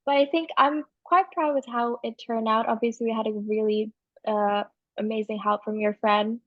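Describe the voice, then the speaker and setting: A woman's voice: a little high, steady tone, normal speed, no room sound, muffled recording. A young woman from Indonesia, a magazine founder talking as a guest in a casual interview, thoughtful and hesitant with many fillers.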